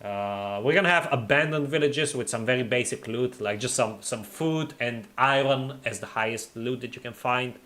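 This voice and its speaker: A man's voice: medium pitch, normal speed, few pauses. A man streaming a game and chatting casually with viewers, making his R sounds in the throat. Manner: relaxed, reads and answers chat while playing. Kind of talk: casual livestream chat.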